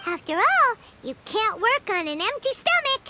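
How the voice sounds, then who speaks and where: Excitable little floating fairy for anime game; squeaky, hugely animated delivery, loud noise behind. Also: female voice, moderate pace. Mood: puzzled, helpful. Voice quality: squeaky, childlike. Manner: exclamatory, refers to self in third person. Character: tiny floating childlike fairy companion, chirpy and excitable. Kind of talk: anime video game dialogue.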